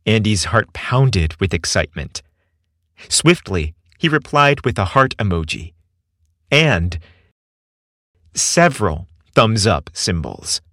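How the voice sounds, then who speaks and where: Low-pitched male storyteller, dry sound. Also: big pitch swings, slow, pauses often, fairly bright tone, no room sound. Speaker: narrator and storyteller. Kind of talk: scripted narration of a romantic story.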